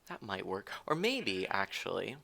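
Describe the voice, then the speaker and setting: A man's voice: deep voice, wildly swooping pitch, a little slow, vocal fry, pushed and bright, slight background noise. A man streaming a game live, chatting with viewers, giggling and teasing as he thinks aloud. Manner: rambling with frequent restarts.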